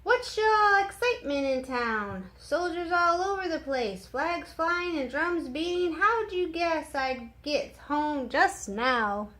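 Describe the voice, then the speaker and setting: A hearty young sailor from a storybook , acted out by a woman reader, pitched high and exclamatory. He is blunt and exuberant, with a loud, unhurried delivery. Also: expressive, exaggerated voice, low-quality recording. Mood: eager, curious.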